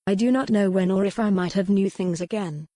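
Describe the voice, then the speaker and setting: A woman voicing a very young, frail noble widow, speaking formally with quiet wryness. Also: medium pitch, brisk, dry recording, studio quality, lightly acted.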